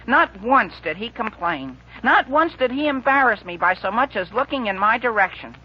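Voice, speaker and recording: A man's voice: very high voice, expressive, normal speed, breathy edge, exaggerated voice. A man playing an earnest, apologetic teenage boy with a youthful, cracking voice in a 1940s radio comedy. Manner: earnest, exclaiming. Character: eager, apologetic teenage boy, the hapless son. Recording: dull, noisy 1940s radio recording.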